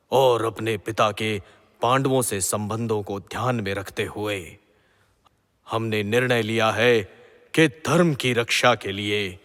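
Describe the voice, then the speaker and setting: A man acting a grave, righteous middle-aged king and father in a mythological audio drama, speaking in formal, measured pronouncements. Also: on the low side, pushed and bright. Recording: long hall-like reverb.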